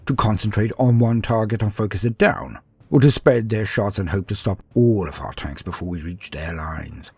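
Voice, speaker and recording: A man voicing a proud, battle-hungry space marine in a sci-fi war story, with a husky voice and a haughty, grandiose manner. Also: on the low side, normal speed, darkish tone, no echo. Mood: grim, intense. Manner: haughty, scornful, grandiose, dramatic. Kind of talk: first-person dramatic sci-fi war storytelling.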